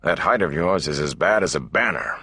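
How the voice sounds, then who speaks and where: A man voicing an old, gruff, world-weary travelling minstrel for a fantasy audiobook, with a deep gravelly voice. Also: moderate pace, bright, forceful tone, no room sound, heavily acted. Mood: brisk, gruff. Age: older.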